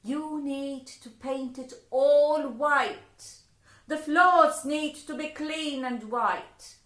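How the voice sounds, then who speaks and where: A woman's voice: high-pitched, extremely slow, roomy sound, pitched above the performer's own voice, heavily acted. Bossy threatening fairies, as a woman puts them on. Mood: scolding. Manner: demanding, scolding, loud. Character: bossy, threatening fairy captors.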